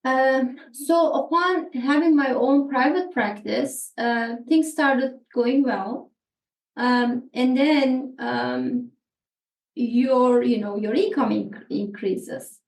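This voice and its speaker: A woman's voice: high-pitched, slow pace, creaky. A woman from Turkey with a Turkish accent and native Turkish, talking earnestly as an interview guest, often repeating words as she searches for phrasing. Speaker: podcast guest, therapist. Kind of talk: conversational interview. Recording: echoey room, distant from mic.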